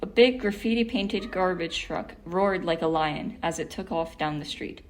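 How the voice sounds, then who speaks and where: A woman reading a children's picture book aloud in a calm, measured way. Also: lowish voice, a little hiss or hum, poor audio. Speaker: volunteer reader.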